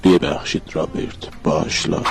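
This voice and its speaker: A man voicing a strange, childish creature who talks in terse, deadpan mumbles with a gravelly voice. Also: soft background music, close to an everyday voice.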